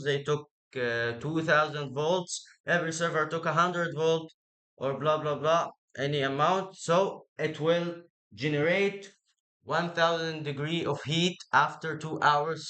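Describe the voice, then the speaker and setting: A man with a fairly high, bright, forceful voice and an Egyptian accent, his native language being Arabic. He explains things informally, like someone pitching an idea, in halting, repetitive second-language phrasing. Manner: halting, repetitive non-native English with rough grammar.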